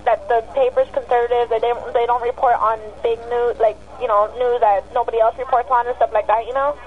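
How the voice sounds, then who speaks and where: A woman's voice: a little high, level tone, fairly quick. A woman working as a telemarketer, politely hedging and apologizing through a sales call. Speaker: newspaper telemarketer. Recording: tinny phone line.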